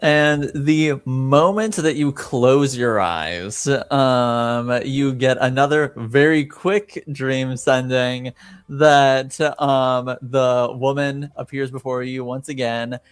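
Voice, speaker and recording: A man narrating as game master, improvising in measured, halting phrases. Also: mid-range voice, clear, resonant tone, no room sound.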